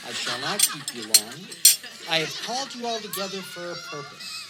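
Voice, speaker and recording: A woman playing a jovial, eccentric elderly male hobbit in an audio drama, orating in a grand, deliberate manner. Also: on the low side, big pitch swings, heavy background noise. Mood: wry, formal. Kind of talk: theatrical audio drama speech.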